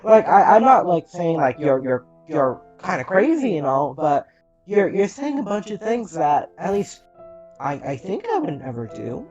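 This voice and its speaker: A man in a tabletop session, speaking haltingly for his character, tripping over words and restarting. The recording is slightly dull, with faint music under it. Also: on the high side, very animated, darkish tone. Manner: hesitant, stammering. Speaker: player. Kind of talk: playing a character in a tabletop game.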